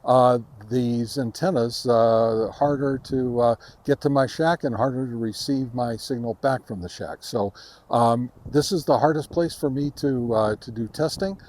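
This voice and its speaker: A man of average pitch hosts a casual gear test outdoors. He speaks haltingly, feeling his way through the explanation as he narrates each step. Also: a little mellow. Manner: halting, hesitant delivery. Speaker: host of a radio gear review channel. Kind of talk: casual field-test commentary. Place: outdoors in a park.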